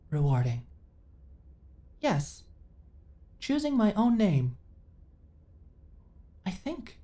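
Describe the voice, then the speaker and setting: A woman's voice: medium pitch, warm tone. A woman playing an earnest, naive companion android in a sci-fi ASMR roleplay, speaking in stilted, formal, literal phrases. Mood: childlike delight.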